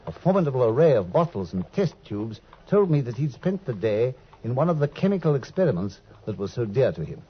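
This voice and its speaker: An earnest, loyal doctor in an old radio play, quick to exclaim and eager to follow along. His pitch swings through a very wide range. The husky voice comes through a dull, lo-fi broadcast recording. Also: very animated. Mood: narrating. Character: earnest loyal male doctor, the detective's companion. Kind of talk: 1950s radio drama acting and narration.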